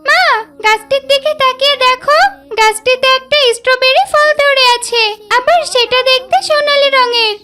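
A woman voicing an excitable, wide-eyed little girl in a children's cartoon. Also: extremely high pitch, moderate pace. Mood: amazed. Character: excitable, pious little village girl Maisha.

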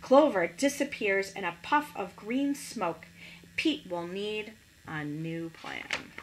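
A middle-aged woman reading a picture book expressively to young children, pausing to ask them questions. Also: medium pitch, a little hiss or hum, low-quality recording. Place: small living room at home, indoors.

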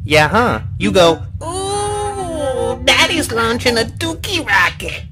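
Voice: mid-range voice, sing-song, slow. An actor voicing a cheeky humanoid robot in a cartoon, childishly insistent and taunting. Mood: mocking, gleeful. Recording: music behind the voice.

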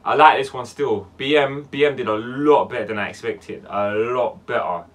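A young man in his twenties with a London accent, hosting a music reaction video in casual slang-heavy banter, swinging from loud bursts to low mutters. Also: moderate pace. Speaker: music reaction channel host. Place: small dark room indoors.

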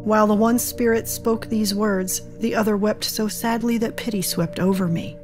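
A woman's voice: mid-range voice, steady tone, normal speed, music in the background, lightly acted. A woman playing a determined, defiant character in an audio drama. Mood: curious, intent. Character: determined, defiant woman breaking free of illusion.

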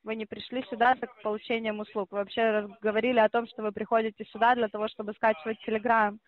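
A woman's voice: fairly high pitch, flat delivery, fast talker. A woman working as a call-center operator on a phone call, explaining at length and insisting on her point. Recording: muffled phone line.

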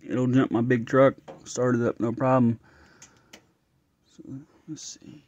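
A man's voice: medium pitch, little pitch movement, takes it slowly, a little mellow, muffled recording, slight background noise. A man thinking aloud as he tests an engine at his garage workbench, casual and a bit frustrated. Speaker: home mechanic vlogger. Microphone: phone camera held close.

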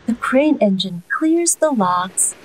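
Lady doing kids read-aloud, really swoopy high voice, takes her time, noisy recording. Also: lively intonation, takes it slowly. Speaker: storyteller reading a children's book aloud. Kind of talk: expressive read-aloud storytelling.

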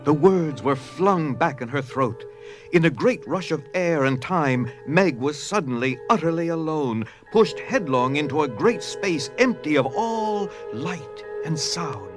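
A man's voice: on the high side, expressive, measured pace. A man reading scripted narration for a dramatized story. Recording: music under the voice.

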